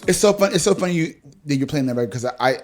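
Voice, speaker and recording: A man's voice: a little high, animated, rapid-fire. A man hosting a podcast, talking in a casual conversational style. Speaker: podcast host. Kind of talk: casual chat.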